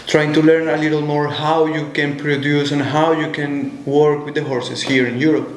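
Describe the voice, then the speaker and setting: A young adult Colombian man with a Spanish accent, speaking thoughtfully as an interview guest in halting non-native English. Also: fairly high pitch, level tone. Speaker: guest, show jumper. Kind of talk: conversational interview. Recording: echoey room, faint music.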